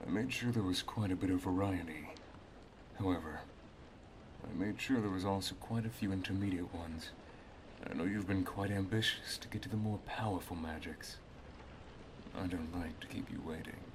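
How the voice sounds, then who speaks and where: A man playing an affectionate, teasing wizard master in an ASMR roleplay, speaking softly, intimately and in a measured way. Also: low voice, noisy background, lightly acted. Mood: instructive, affectionate.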